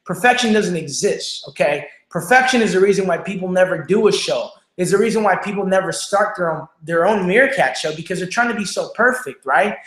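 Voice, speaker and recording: A man with a Mexican accent hosting a motivational livestream on his phone, talking fast and energetically and tagging each point with "right?" or "okay?". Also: high-pitched, animated, a bit bright. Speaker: livestream show host. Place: home room without studio lighting. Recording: echoey room.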